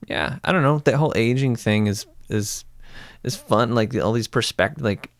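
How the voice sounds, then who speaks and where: A man's voice: medium pitch, normal speed, no echo. A man around forty chatting casually as a guest, reflective and playful in a rambling way. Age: 40s. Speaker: podcast guest. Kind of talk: casual chat.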